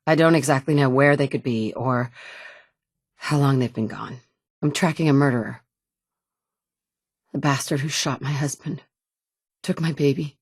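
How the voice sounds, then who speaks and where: A blunt, wry game heroine. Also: female voice, rumbling low, a little slow, long pauses, breathy edge, dry recording, heavily acted. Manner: dry, blunt, sometimes sarcastic. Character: determined young mother, blunt and wry. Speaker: player character. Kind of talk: video game dialogue lines.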